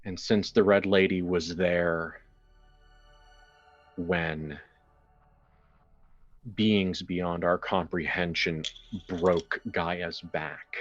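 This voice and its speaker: Very deep-voiced man narrating slowly. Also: little pitch movement. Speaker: game master. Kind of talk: slow dramatic improvised narration for a horror tabletop game. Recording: slightly dull audio with music behind. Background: music.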